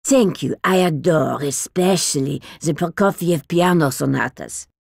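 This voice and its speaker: A woman's voice: deep voice, unhurried, creaky, darkish tone, no room sound, studio quality, heavily acted. An actress voicing an eccentric, grand old woman who speaks in broken, foreign-sounding grammar with a haughty, regal air. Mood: gracious. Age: older. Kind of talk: audiobook character voice.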